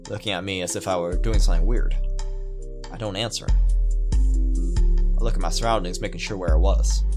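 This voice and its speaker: A man doing casual video game commentary, reading text aloud and rambling off into his own musing asides. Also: on the low side. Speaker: video game let's player. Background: game music.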